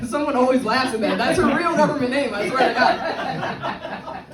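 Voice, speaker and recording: A woman from the South Bronx with a Bronx accent and a deep, slightly cracked voice, doing a brash, crude stand-up set on a club stage. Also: measured pace, over a noisy crowd. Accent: Bronx New York. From South Bronx, New York. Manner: brash, crude, self-mocking punchlines. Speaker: stand-up comedian. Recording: echoey club, far from the mic. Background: audience laughter.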